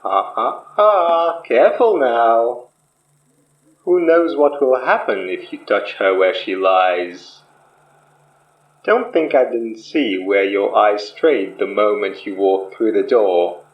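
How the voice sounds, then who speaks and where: An actor playing a polished, sinister sorcerer spirit from the past in an audio horror drama, measured and theatrical. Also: mid-range voice, animated. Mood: politely menacing. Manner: slow, measured, theatrical, politely menacing. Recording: muffled, echoey room.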